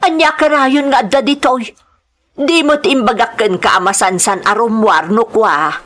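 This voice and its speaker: A woman's voice: on the high side, moderate pace, fairly bright tone. Wary older mother, acted by a woman. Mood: wary, insinuating. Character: watchful, wary older mother warning her son. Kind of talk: Ilocano audio drama acting.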